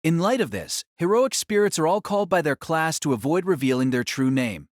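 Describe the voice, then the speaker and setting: Male narrator, scripted novel narration, animated intonation, fairly high pitch, dry recording. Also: a little fast, dry recording.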